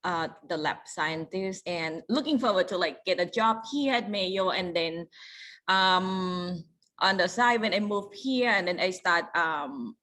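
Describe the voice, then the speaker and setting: A woman from Thailand with a Thai accent, a chef introducing herself on a panel in halting, non-native English. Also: fairly low pitch, fairly bright tone. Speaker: chef and restaurant owner.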